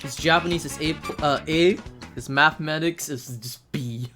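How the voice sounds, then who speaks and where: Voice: medium pitch, moderate pace, pushed and bright. Casual gaming commentator over faint music. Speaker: speedrun commentator. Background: faint video game music.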